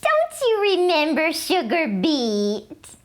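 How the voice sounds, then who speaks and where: A gentle mother cat speaks with a warm, coaxing pride, as a woman reads her aloud. Her voice sits a little high and moves in lively, expressive lines. She talks unhurriedly, as in a bedtime picture book. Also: slow pace, heavily acted. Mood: fond. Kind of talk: storybook read-aloud.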